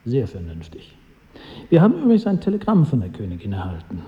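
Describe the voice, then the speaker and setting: A man's voice: mid-range voice, wildly swooping pitch, fast. An actor playing a courteous, cynical adviser to a king in a radio drama, dry and deferential. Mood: dry, gossipy. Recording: dull sound with hall reverb.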